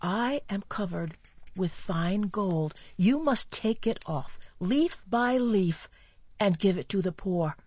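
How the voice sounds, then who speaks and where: Woman acting sorrowful royal male statue, husky, unhurried, gentle and solemn, storybook drama, close dry sound. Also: medium pitch, animated, no room sound, highs cut off. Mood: solemn, melancholy. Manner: slow, solemn, pleading.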